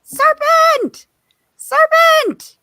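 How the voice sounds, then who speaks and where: A woman's voice: extremely high pitch, drawn-out words, bright, forceful tone, no echo, heavily acted. A woman voicing a frantic, indignant mother pigeon in a storybook live read, scolding shrilly and with exasperation. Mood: shrill alarm. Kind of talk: live reading of an abridged classic story.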